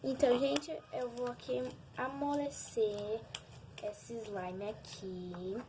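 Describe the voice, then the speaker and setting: A young Brazilian girl with a high voice talks casually to her viewers. She is informal and chatty, like a hobby vlogger. The homemade recording is clearly noisy, with small clicks. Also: little pitch movement, slow. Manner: informal, giggly, addresses viewers often. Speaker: vlogger showing slime. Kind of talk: casual chat to camera with hands-on demonstration.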